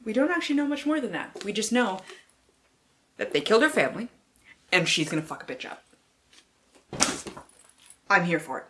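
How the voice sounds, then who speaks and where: A woman's voice: mid-range voice, big pitch swings, normal speed, long pauses, pushed and bright, slight background noise. Lady doing a casual book vlog, super dramatic and playful, swears a lot, slangy. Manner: theatrical, playful delivery. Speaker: book vlogger.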